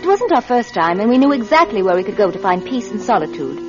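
A woman playing a scheming, sharp-tongued grown daughter in an old radio drama, cutting and persuasive, both narrating and acting. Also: mid-range voice, sing-song, quick pace, natural voice. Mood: confiding narration. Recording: dull vintage radio, music behind.

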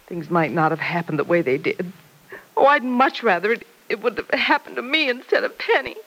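An actress playing a distraught, anxious middle-aged mother in an old radio drama, tearful and halting as she pleads. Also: medium pitch, expressive, fairly bright tone, low-quality recording. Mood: distressed. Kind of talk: 1940s-50s radio drama acting.

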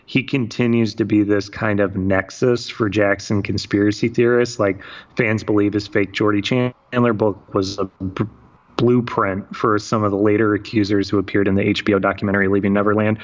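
A man from Michigan hosting a talk show, telling a story in measured narration and turning blunt at times. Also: fairly low pitch, little pitch movement, dull audio. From Michigan, USA. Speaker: podcast host. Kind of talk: scripted narration mixed with casual chat.

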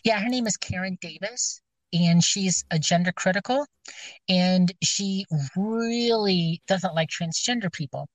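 A woman's voice: mid-range voice, unhurried, dry recording. A woman with a scratchy voice chatting casually, rambling and hesitant with many fillers and restarts. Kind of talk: casual chat. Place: outdoors, near her car.

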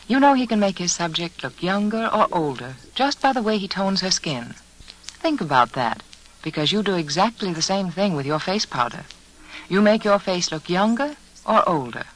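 A woman's voice: deep voice, fairly bright tone, slight background noise, poor audio. An American woman reading a scripted beauty advertisement as a storyteller in 1940s radio style.

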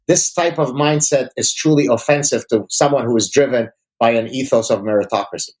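A man's voice: medium pitch, brisk, darkish tone. Emphatic, articulate man from Lebanon, native Arabic speaker. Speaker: interview guest, academic. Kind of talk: opinionated conversational interview.

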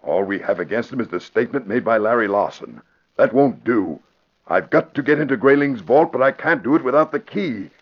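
Excitable middle-aged police commissioner, American, blustering, animated pitch, husky, vintage radio drama. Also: male voice, a little fast. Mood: exasperated, urgent. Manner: blustery, exclaiming, stammering when astonished. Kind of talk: 1940s radio acting. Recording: dull vintage radio recording.

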